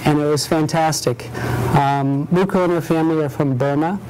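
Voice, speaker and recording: Guy from the company introducing a guest, earnest, lots of ums, kinda high voice, noisy audio. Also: steady tone, moderate pace. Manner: earnest, with frequent fillers. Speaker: company representative. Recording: noisy webcast recording.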